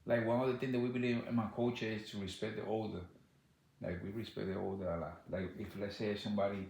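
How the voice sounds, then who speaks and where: A man with a Dominican accent talks in a fairly low voice. He answers questions in loose, repetitive bursts. The echoey room carries a faint hiss of background noise. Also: flat delivery, vocal fry, a little mellow. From Dominican Republic. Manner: halting, repeats words, many "like" and "you know". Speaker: interviewee. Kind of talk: casual interview answers.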